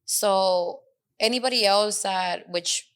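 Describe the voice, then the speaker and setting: A woman's voice: mid-range voice, takes it slowly, clear, resonant tone. Woman in her twenties, Mexican American accent, casual podcast co-host, earnest, slips into Spanish. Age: 20s. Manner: earnest, switches into Spanish mid-sentence. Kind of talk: casual chat. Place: Dallas.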